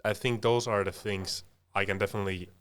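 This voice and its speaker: Low-pitched young man chatting reflectively. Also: fairly even intonation, brisk, smooth. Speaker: podcast co-host. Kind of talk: casual reflective podcast chat.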